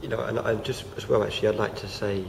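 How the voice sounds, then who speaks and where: A young man, croaky from feeling unwell, talking casually to camera with many fillers and restarts. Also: fairly low pitch, fairly even intonation, fast talker. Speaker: vlogger. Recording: noisy home recording.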